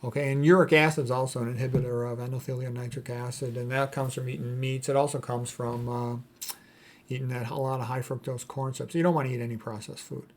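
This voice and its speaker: A man's voice: mid-range voice, flat delivery, fast. A man giving an informal explanatory health talk as an educator, speaking in a blunt, opinionated and colloquial way. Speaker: health educator, medical doctor.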